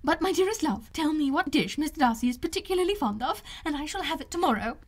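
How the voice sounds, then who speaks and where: Excitable middle-aged mom for an audiobook, really high voice, gushing and fluttery, breathless. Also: female voice, fast talker. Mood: giddy, eager. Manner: breathless exclamations, effusive. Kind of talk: audiobook character voice.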